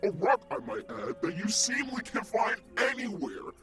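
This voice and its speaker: A man playing a hot-headed, whiny supervillain in a comedic radio play, slangy, mocking and excitable. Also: very high voice, moderate pace, vocal fry, soft background music, full character voice. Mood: sulky, mocking.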